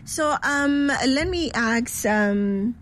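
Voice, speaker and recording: A woman's voice: on the high side, very slow, fairly bright tone, a little hiss or hum. A woman hosting a casual interview over a video call. She laughs easily and restarts her questions as she goes. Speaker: host.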